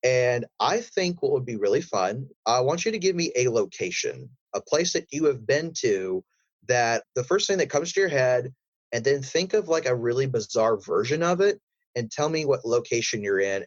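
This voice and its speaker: A man's voice: mid-range voice, lively intonation, normal speed. A man giving encouraging, explanatory advice as a guest in a casual interview. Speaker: guest voice actor and audio drama writer. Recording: slightly dull, roomy echoey sound.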